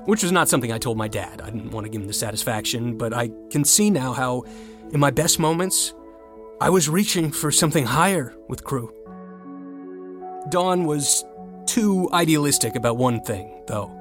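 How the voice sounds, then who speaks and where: A man's voice: mid-range voice, lively intonation, normal speed, music in the background, lightly acted. A man playing a sarcastic, stubborn young college rower in a naturalistic audio drama. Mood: reflective. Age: young adult. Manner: wry, sardonic.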